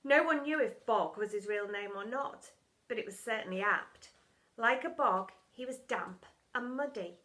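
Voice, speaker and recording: A woman narrates a children's book with dramatic emphasis. Her voice is fairly high, with a very bright, crisp edge, in the expressive style of a school story reader. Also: normal speed.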